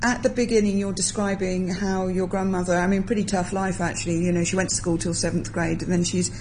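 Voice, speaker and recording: A woman's voice: on the low side, steady tone, fairly quick, a little mellow, a little hiss or hum, rough recording. Curious woman interviewer. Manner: curious, asks probing follow-up questions. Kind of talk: conversational interview.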